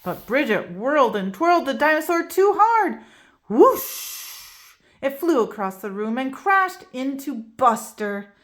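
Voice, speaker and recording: A woman reading a children's picture book aloud, expressive and storytelling. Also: high voice, takes it slowly. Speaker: read-aloud host.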